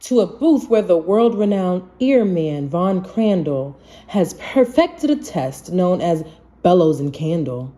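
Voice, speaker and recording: A woman reading a rhyming children's storybook aloud in an expressive, measured manner. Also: fairly low pitch. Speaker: children's book reader.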